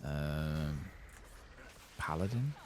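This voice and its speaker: A soft-spoken man giving ASMR video game commentary, musing to himself and reading quest text aloud in an unhurried way. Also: a bass voice, lively intonation, slight background noise. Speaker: ASMR gaming streamer narrating his play.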